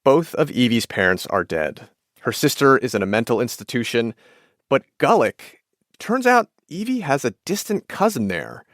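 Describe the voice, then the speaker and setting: A man's voice: mid-range voice, sing-song, moderate pace, no room sound. Guy podcast host, mid-range voice, very dry studio sound, does narration and questions. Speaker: radio podcast host and interviewer. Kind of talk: scripted narration and conversational interviewing.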